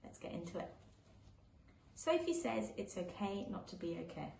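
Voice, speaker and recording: A woman reading a children's picture book aloud live, with an expressive, sing-song storytelling delivery. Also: mid-range voice, normal speed, gravelly. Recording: echoey room with faint background noise.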